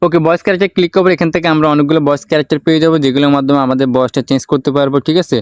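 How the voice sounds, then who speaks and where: Very fast-talking man, tutorial host. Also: a little high, fairly even intonation, no echo. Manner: repetitive explaining, English words mixed in. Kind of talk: step-by-step software tutorial narration.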